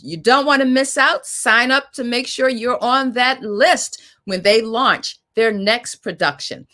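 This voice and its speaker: A woman's voice: a little high, a little slow, sharp tone. A woman hosting and moderating a panel discussion in an informal style. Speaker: host and moderator.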